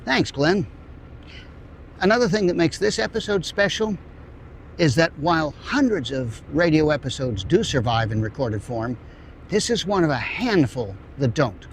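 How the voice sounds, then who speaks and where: A man's voice: fairly high pitch, expressive, moderate pace, slight background noise. A man presenting a scripted, folksy introduction as the host of an audio drama show.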